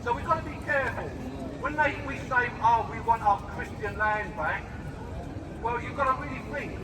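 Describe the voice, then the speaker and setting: A man with a quiet voice giving an earnest, preachy speech through a megaphone at an outdoor rally, full of rhetorical questions. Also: extremely high pitch, normal speed. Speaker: rally speaker. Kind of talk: impromptu street rally speech. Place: outdoor high street rally. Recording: echoey distant street recording with crowd. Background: crowd and street noise.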